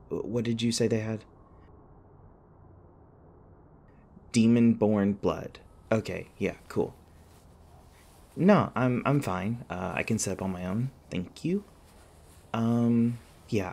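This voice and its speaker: A man playing an anxious, sarcastic young college student in an audio roleplay, rambling and halting in a wry, flustered way. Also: lowish voice, creaky, darkish tone, heavily acted. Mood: incredulous, then awkward, shaken. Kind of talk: audio roleplay acting, one-sided dialogue.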